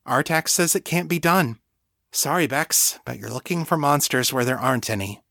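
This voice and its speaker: A man's voice: medium pitch, moderate pace, gravelly, pushed and bright, no echo, clean studio sound, pitched above the performer's own voice. A man voicing a proud, headstrong woman in an audiobook, blunt, emphatic and defiant. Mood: firm, proud.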